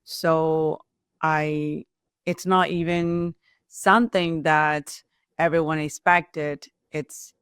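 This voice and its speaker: A woman with a Burmese accent tells her personal story as an entrepreneur being interviewed. Her voice is clear and smooth, her phrasing simple and halting, and the sound is dry and close. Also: deep voice, steady tone, a bit bright, dry recording. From Myanmar (Burma). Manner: non-native English with simple, halting phrasing. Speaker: restaurant owner and entrepreneur.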